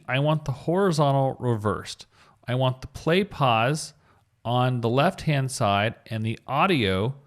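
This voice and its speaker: A man presenting a screencast tutorial, narrating each step in a casual, informal way and thinking aloud as he demonstrates. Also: medium pitch. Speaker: tutorial presenter, web designer.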